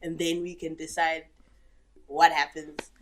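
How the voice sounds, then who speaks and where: A young woman from Zimbabwe chatting on a podcast, her voice sitting a little low for a woman. She tells her stories in a playful, animated way and breaks into easy laughter. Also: measured pace. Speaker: podcast guest. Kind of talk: casual chat.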